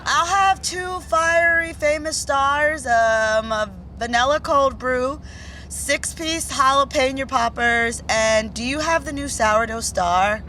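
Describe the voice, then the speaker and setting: A anxious young amateur comic in a sitcom. Her voice is high and bright, wry and emphatic, with faint noise behind it. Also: slow pace, heavily acted. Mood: assured, wry. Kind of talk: podcast sitcom acting. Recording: faint background noise, vehicle ambience.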